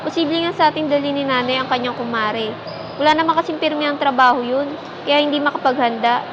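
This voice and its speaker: A woman's voice: sits high, fast, natural voice. A woman playing a worried, conciliatory young Filipino wife in a radio drama, speaking in a tentative, pleading way. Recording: dull lo-fi sound, clear background noise.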